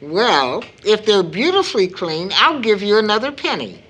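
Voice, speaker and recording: A woman playing a firm, loving mother of grown children in an audio drama, with measured, formal speech. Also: medium pitch, very animated, fairly bright tone, breathy edge. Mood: kindly.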